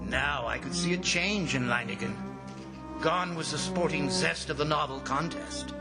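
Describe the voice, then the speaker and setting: Man acting high-voiced, anxious radio drama commissioner over music. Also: close to an everyday voice. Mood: grim dread. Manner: dramatic, suspenseful, deliberate storytelling. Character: horrified, anxious commissioner recounting an ant invasion. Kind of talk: old-time radio drama narration and acting. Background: loud dramatic music.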